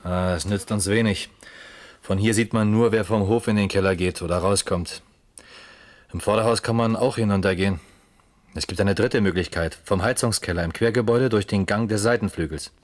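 An actor as a low-voiced, brisk police detective. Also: male voice, lightly acted. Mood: brisk, businesslike. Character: brisk, businesslike male police detective in charge. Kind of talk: German radio crime play acting.

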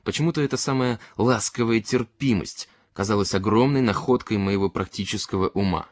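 A man's voice: fairly low pitch, brisk, no echo. A man narrating a literary short story expressively, as an audiobook reader.

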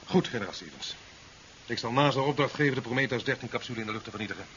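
A man's voice: mid-range voice, rapid-fire, fairly bright tone, natural voice. A man playing a sceptical, career-minded president in a 1970s science fiction radio play, formal and dry. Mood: businesslike, official. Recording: dull 1970s radio recording with hiss.

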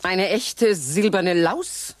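An actress playing an eccentric, scheming witch who mutters over her potions in a radio play, her voice lurching from low grumbles to shrill highs. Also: expressive, extremely slow, no echo. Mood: rattled. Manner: muttering, swooping wildly in pitch, cackling.

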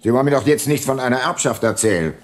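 An actor playing a hard-nosed, cunning older private detective in a radio drama, terse and dry. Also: male voice, medium pitch, animated, fast talker, close to an everyday voice. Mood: menacing, pressing. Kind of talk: radio drama narration and dialogue.

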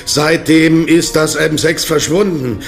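An actor playing a defensive, streetwise drug-gang underling explaining himself in a radio crime drama. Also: male voice, a little high, slow pace, vocal fry, faint music behind.

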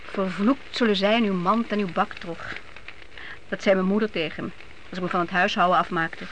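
A woman's voice: medium pitch, fairly even intonation, normal speed, natural voice. An actress playing a devout middle-aged mother of grown sons from biblical times in a radio play, earnest and motherly. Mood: earnest, reflective. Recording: noisy old radio play recording.

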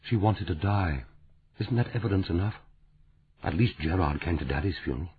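A wounded, bitter young woman in her late twenties speaks low and soft, as a man reads her part. The voice is husky and dark. The sound is thin and tinny, like a phone line. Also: lively intonation, normal speed, dry recording, full character voice. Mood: bitter, grieving.

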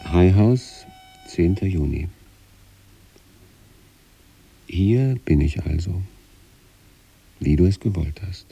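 An actor playing a troubled, detached sanatorium patient who recites letters to his wife in a measured, brooding radio play monologue. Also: low voice, expressive, creaky, warm tone, slightly rough, soft background music, heavily acted.

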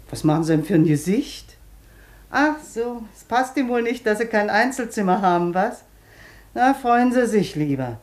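An actress in a German radio play playing a bossy, talkative long-term patient, blunt and colloquial. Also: female voice, lowish voice, a little mellow, natural voice. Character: bossy, chatty long-term woman patient showing newcomer around.

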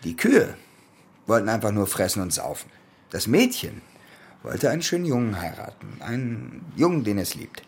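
An actor playing a menacing young soldier and domineering elder brother in a German radio play, with a commanding, taunting way of talking. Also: male voice, lowish voice, wildly swooping pitch, moderate pace, gravelly, breathy edge, full character voice. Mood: grim storytelling.

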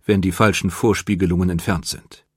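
A man reading scripted biographical narration in a measured, composed manner. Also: deep voice, fairly even intonation, husky, no room sound, studio quality. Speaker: documentary narrator. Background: music.

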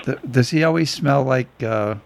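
Gravelly man, joking co-host. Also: medium pitch, moderate pace, slight background noise. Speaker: podcast co-host. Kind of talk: casual podcast chat.

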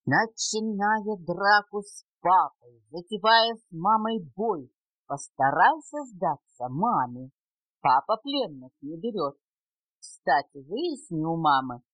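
An older woman recites playful children's verse with deliberate, measured phrasing and a slow pace. Her pitch swings in a lively way from line to line. The sound is a dull home cassette recording, dry and close. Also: dry recording. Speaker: grandmother reading for her grandchild. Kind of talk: expressive children's poetry recitation.

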